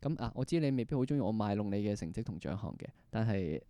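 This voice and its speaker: Fast-talking Hong Kong man hosting a podcast. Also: on the low side, sing-song, dark tone, no echo. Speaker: podcast host. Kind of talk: conversational interview hosting.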